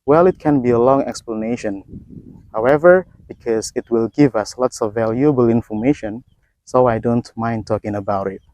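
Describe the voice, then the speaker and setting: A man's voice: mid-range voice, darkish tone, no echo. Formal Indonesian man giving a dry talk. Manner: formal, measured, textbook phrasing. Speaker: educator. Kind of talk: scripted talk.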